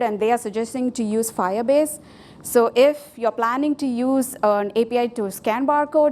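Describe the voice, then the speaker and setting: A woman's voice: a little high, darkish tone. A woman whose first language is Tamil, giving a conference talk with a live demo, speaking informally with fillers and restarts. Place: conference hall.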